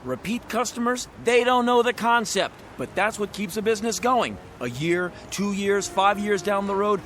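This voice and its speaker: A man's voice: high-pitched, expressive, normal speed, a bit bright, a little hiss or hum. An actor playing a glib, pushy used-car salesman in a radio drama, with florid, bombastic patter. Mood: indignant, persuasive.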